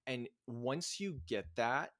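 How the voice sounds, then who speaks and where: A young man, a podcast guest, talking in an excited, chatty way, laughing often and filling his speech with "like". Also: mid-range voice, takes it slowly. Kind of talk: casual podcast interview.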